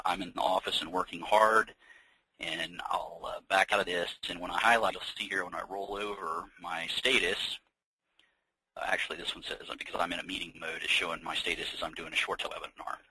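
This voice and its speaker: An American man leading an informal software training webinar, explaining things conversationally with practical examples. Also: low-pitched, little pitch movement, normal speed, husky, low-quality recording. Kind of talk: informal software demonstration, unscripted walkthrough.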